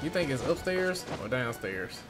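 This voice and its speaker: A man's voice: mid-range voice, very animated, normal speed. A man doing casual live gameplay commentary, informal and sweary, reacting as he plays. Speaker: game streamer. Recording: game music behind the voice.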